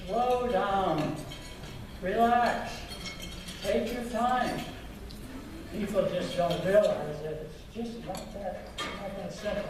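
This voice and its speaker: An older man earnestly recounting his life story in a slow, reflective manner, recorded from a distance. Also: high voice, background noise. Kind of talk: personal storytelling talk. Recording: echoey and distant with loud background clatter.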